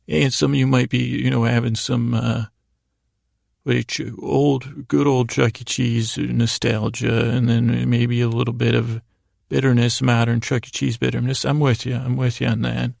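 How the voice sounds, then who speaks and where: A man hosting a bedtime podcast in a rambling, halting monologue, soothing and gently self-deprecating, with a gravelly voice. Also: mid-range voice, flat delivery, dry recording, clean studio sound. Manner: meandering, halting, frequently derailing his own sentences. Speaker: podcast host. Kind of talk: rambling bedtime monologue to help listeners fall asleep.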